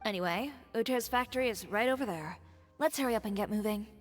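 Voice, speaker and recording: A woman's voice: medium pitch, fast, soft background music, close to an everyday voice. A woman playing a poised, coolly assertive young fashion designer in an anime video game, composed and self-assured. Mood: wry, brisk.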